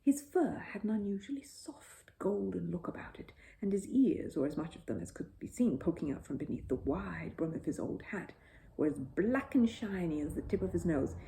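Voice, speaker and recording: A woman narrating a children's bedtime story in an expressive storytelling style. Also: lowish voice, moderate pace, gravelly, poor audio.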